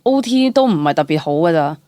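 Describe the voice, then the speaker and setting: A young woman with a Hong Kong Cantonese accent chats as an interview guest, telling stories in an animated, candid way and dropping in English words. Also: on the low side, fast talker, no room sound. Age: young adult. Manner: animated, chatty, mixes English words into speech. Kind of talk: casual, candid storytelling.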